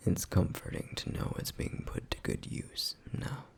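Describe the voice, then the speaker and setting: A man playing a brooding, protective flame demon in an ASMR roleplay, speaking in a grave, breathy, gravelly near-whisper with deliberate pauses. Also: close to an everyday voice. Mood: wistful, subdued. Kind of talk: ASMR roleplay, intimate scripted monologue.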